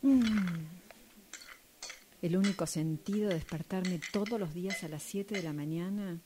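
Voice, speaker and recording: A woman's voice: fairly low pitch, a little slow, noisy background. A woman performing a dramatic monologue reading in a radio drama workshop.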